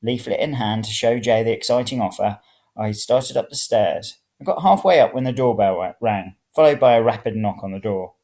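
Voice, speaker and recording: Man reading a story, creaky voice. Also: fairly low pitch, moderate pace, mellow. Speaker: reader of serial fiction. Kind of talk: first-person story reading.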